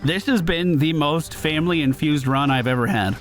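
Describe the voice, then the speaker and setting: A man's voice: on the high side, moderate pace. A man streaming a game, giving casual, slangy commentary as he thinks aloud. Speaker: gaming streamer. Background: game music.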